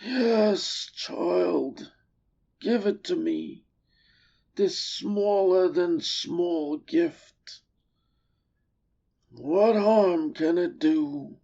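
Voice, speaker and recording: A woman voicing a weary, proud old camel in a storybook, groaning with self-pity in a drawn-out, dramatic way. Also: lowish voice, vocal fry, warm tone, muffled recording, full character voice. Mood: resigned, kindly. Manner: slow, drawn-out, dramatic delivery.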